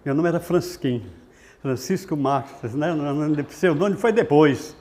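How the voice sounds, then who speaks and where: Older male broadcaster from Northeast Brazil, regional accent, rather high voice, rambling informal reminiscence. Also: normal speed, a little mellow. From Sobral, Ceará, Brazil. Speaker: radio broadcaster. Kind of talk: informal autobiographical recollection.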